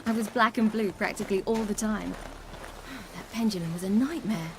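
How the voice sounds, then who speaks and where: An actress playing a headstrong young warrior woman in a video game, spirited and articulate, quick to challenge. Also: mid-range voice, background noise, close to an everyday voice. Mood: wistful. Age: young adult. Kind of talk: cinematic video game acting.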